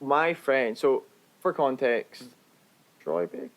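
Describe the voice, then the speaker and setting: A man co-hosting a podcast, chatting about films in an animated, storytelling way. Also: mid-range voice, slow pace. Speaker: podcast co-host. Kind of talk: casual film discussion.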